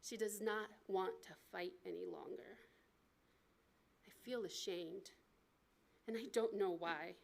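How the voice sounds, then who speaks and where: An American woman reading aloud from her memoir as its author, in an expressive, deliberate storytelling manner at a live event. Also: medium pitch, creaky. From United States. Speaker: author reading from own book.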